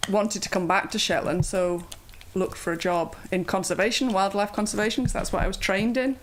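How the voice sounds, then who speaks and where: A woman in her fifties with a soft Yorkshire accent, chatting as an interview guest, with plenty of ums and uhs. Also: medium pitch, fairly even intonation, fairly quick. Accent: mild Yorkshire. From Yorkshire, England. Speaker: guest, yoga teacher. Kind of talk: casual interview chat.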